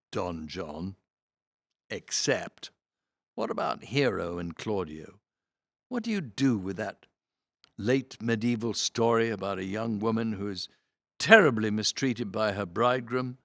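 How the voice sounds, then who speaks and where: A man reads programme notes aloud with a theatrical flourish, his pitch moving freely from phrase to phrase. He phrases things deliberately and leans into key words for emphasis. The recording is close and dry. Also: expressive, vocal fry, a bit bright, no echo. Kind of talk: expressive read-aloud of programme notes with brief asides.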